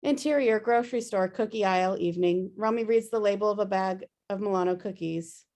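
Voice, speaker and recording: Mid-pitched woman reading stage directions. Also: fairly quick, darkish tone. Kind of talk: scripted narration at a table read.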